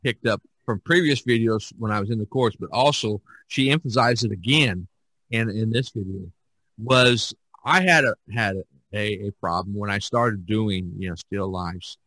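A man hosting a casual podcast chat, speaking haltingly and often repeating words. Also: on the low side, sharp tone, no room sound, low-quality recording. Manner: halting, with repeated words and frequent "you know". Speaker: podcast host. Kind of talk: casual discussion of art practice.

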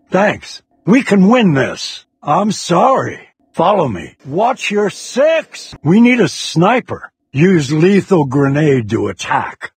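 An actor playing a commanding older male military officer with a rough, husky voice in video game lines. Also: a little high, no room sound, full character voice. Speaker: game operator.

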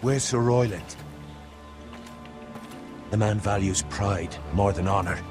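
An actor playing a steady, loyal middle-aged adviser to a lord in a fantasy video game, formal and reassuring. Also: male voice, lowish voice, slow. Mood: grave, reassuring. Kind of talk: dramatic video game acting. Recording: loud music behind the voice.

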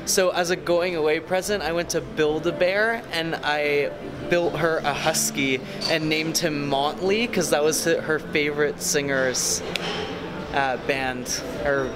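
A man's voice: a little high, fairly even intonation, a little slow, background noise. A man in his twenties chatting casually to camera as a vlog host, with a jokey, bragging manner. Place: large busy gym indoors. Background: loud music.

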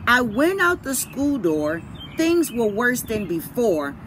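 Female teacher, thirties, dramatic picture-book read-aloud; slow, lively delivery, outdoors. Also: a little high. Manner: deliberate, dramatic. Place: outdoors by a brick house. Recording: outdoor ambient noise, birds, vehicles.

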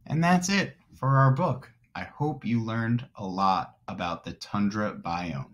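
A man's voice: lowish voice, unhurried, muffled recording. A man in his thirties reading aloud to young children as their teacher, explaining things in simple terms. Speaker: elementary school teacher.

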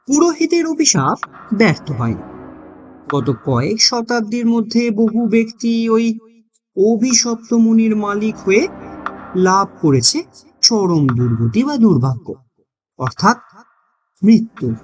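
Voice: medium pitch, dull audio. A narrator reading a scripted horror mystery story in a dramatic storytelling manner.

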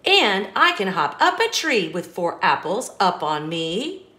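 A woman reading a picture book aloud to young children, with expressive emphasis and small asides to the listener. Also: mid-range voice, a little slow. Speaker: children's storybook reader.

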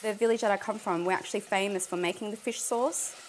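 A woman's voice: medium pitch, fairly even intonation, fast talker, slight background noise. A woman in her thirties with an Australian accent, explaining a family recipe casually as she cooks, with lots of fillers. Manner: chatty, with frequent fillers. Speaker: cooking show contestant. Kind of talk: casual explanation while cooking. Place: home kitchen indoors, later a restaurant kitchen.

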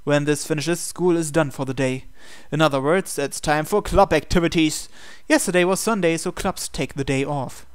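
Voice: mid-range voice, moderate pace, hardly any pauses, clean tone, dry recording, studio quality. A person reading visual novel text aloud for a let's play, acting out the dialogue expressively. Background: game music.

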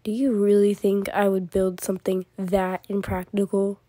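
A woman's voice: mid-range voice, flat delivery, normal speed, warm tone, dry recording, close to an everyday voice. A woman voicing a smug genius inventor turtle in a cartoon comic dub, dry and theatrically formal. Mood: deadpan. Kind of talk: fan comic dub acting.

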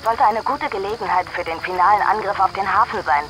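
An actress playing a stern army dispatcher who gives clipped, formal orders over military radio in a video game. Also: female voice, medium pitch, fast talker, a bit bright, soft background music, low-quality recording. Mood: stern, reproachful.

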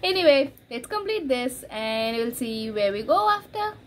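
A woman's voice: high-pitched, sing-song, normal speed, a little hiss or hum. A young woman giving a casual book review to camera, thinking aloud hesitantly in a room at home. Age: young adult. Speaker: book vlogger. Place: small room at home, indoors.

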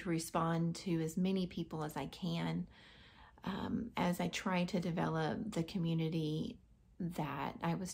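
A woman's voice: on the low side, creaky, dark tone, slightly rough. An American woman in her thirties talking casually and earnestly to camera in a small room. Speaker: vlogger.

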